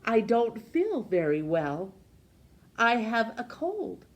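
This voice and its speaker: A woman reader plays a newsstand man from a picture book. He is overworked and poorly, easily astonished, and talks with lively rises and falls. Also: on the high side, slow pace, pitched above the performer's own voice. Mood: weary, confiding. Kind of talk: storybook character voice.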